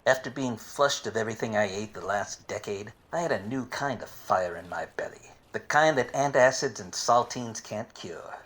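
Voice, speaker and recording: An actor playing an obtuse, wisecracking private detective in a parody of 1940s radio plays, wry and world-weary. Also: male voice, on the low side, moderate pace, few pauses, a bit bright, close to an everyday voice. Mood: brooding narration.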